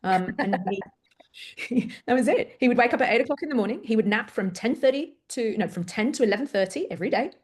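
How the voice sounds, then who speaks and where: A woman's voice: on the high side, a little fast, slightly rough. A middle-aged woman with an English accent, from Greece, speaking candidly and with animation as a parent coach guest in an interview. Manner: candid, animated, self-deprecating storytelling. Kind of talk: conversational interview.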